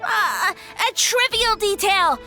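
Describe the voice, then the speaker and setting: A woman's voice: very high-pitched, very animated, takes it slowly, sharp tone, faint music behind, full character voice. A woman voicing a smug, boastful little male mushroom creature in a video game, with a pompous, theatrical, taunting delivery. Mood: huffy, defensive.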